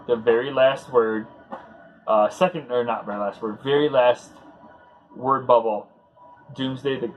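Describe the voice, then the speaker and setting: Man, casual commentary, medium pitch, rambling enthusiastic fan talk, noisy recording. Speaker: host of a comic book read-along.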